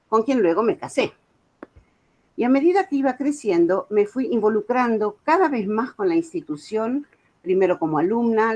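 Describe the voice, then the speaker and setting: A woman in her seventies speaks with a Buenos Aires accent at a middling pitch. She delivers a prepared talk in an earnest, measured storytelling manner, now and then slipping into informal asides. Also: darkish tone. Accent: Argentine, Buenos Aires. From Argentina. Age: 70s. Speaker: guest speaker, author and music educator.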